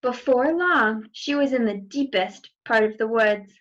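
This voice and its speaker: A woman's voice: on the high side, lively intonation, a little slow, a bit bright, muffled recording. A woman reading a children's story aloud expressively, with asides to young listeners. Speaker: storybook reader.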